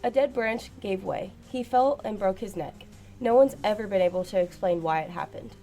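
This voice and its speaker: A woman plays an uneasy British secretary of a learned society in a radio drama. She is emphatic and easily irritated, with a medium-pitched voice over faint music. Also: moderate pace, dark tone, lightly acted. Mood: ominous warning. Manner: emphatic, old-fashioned British turns of phrase. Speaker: actress. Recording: faint music behind a student radio play.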